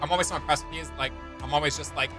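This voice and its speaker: A man's voice: on the high side, steady tone, rapid-fire, over music. A young man giving enthusiastic, slangy, casual commentary as he hosts a reaction video. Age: young adult. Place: indoor home studio room.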